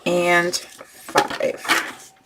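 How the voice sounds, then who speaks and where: Woman, craft tutorial host, hoarse from losing her voice, unhurried, faint clinking dishes behind. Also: background noise. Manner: slow, talks through each step while working. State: losing her voice, clearing her throat.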